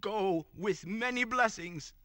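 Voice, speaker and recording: A man's voice: high-pitched, takes it slowly, bright, forceful tone, no room sound, studio quality, heavily acted. A man voicing an eccentric old wizard guide in a game cutscene, speaking in grand, sing-song proclamations. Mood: solemn.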